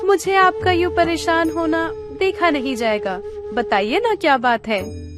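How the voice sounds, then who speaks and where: Casting: actress as meek young village wife, gentle and dutiful, very high voice, faint music underneath. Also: female voice, animated, unhurried. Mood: concerned. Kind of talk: Hindi animated moral story dialogue.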